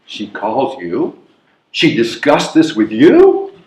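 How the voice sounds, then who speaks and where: A man's voice: medium pitch, wildly swooping pitch, slow, darkish tone. A man playing a hesitant, fretful elderly widower in a readers theatre comedy, protesting and questioning. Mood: uneasy. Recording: echoey live room recording.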